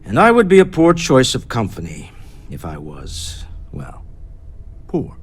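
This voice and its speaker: A man's voice: mid-range voice, wildly swooping pitch, unhurried, breathy edge, heavily acted. A man playing a suave, proud, reflective wealthy businessman in a video game. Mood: wry, rueful.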